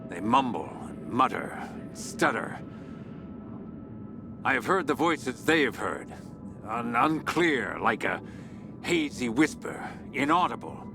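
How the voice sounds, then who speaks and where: An actor playing an obsessed researcher sliding into messianic madness in a video game audio log, speaking haltingly and broodingly, at times breaking into whispers or cries. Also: male voice, mid-range voice, big pitch swings. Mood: weary, brooding. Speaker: expedition leader recording audio logs. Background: background music.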